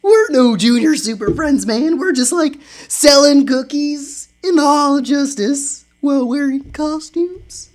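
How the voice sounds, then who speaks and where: A man's voice: very high-pitched, unhurried, hardly any pauses, pitched above the performer's own voice. A man voicing a jittery, cowardly teenage boy who stammers and keeps saying like and man. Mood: scared. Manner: stammering, says like and man constantly. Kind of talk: dramatic comic reading.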